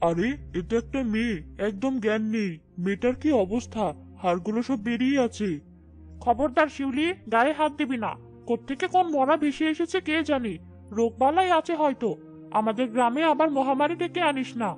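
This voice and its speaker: A woman's voice: high-pitched, fairly quick, a little mellow, faint music behind, lightly acted. A woman voicing a blunt village woman in a cartoon drama. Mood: suspicious, scolding.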